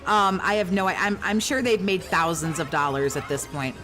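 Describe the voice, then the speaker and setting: A woman giving reaction commentary in a fairly monotone, rambling way, critical and swearing often. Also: on the low side, moderate pace, soft background music.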